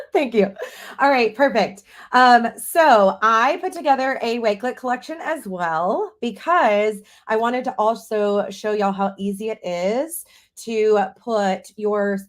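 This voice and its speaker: A woman from North Carolina with a light Southern accent, presenting a software demo over a video call with a friendly, casual manner. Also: mid-range voice, expressive, measured pace. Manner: friendly, uses y'all, frequent fillers like "like" and "um". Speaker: guest presenter.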